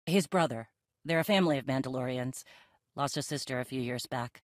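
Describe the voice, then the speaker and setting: A woman's voice: extremely low pitch, a little fast, no room sound. An actress playing a tough, dry-witted female Mandalorian leader in a video game, assured and wry. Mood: thoughtful. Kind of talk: video game cutscene acting.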